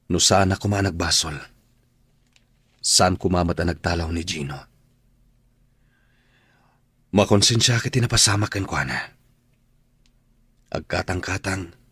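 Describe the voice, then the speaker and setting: An actor playing a stern, bitter husband in an Ilocano radio drama, speaking bluntly and accusingly in a husky Ilocano-accented voice. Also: male voice, low-pitched, a bit bright, dry recording, exaggerated voice. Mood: remorseful. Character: bitter, stern husband throwing out his estranged wife.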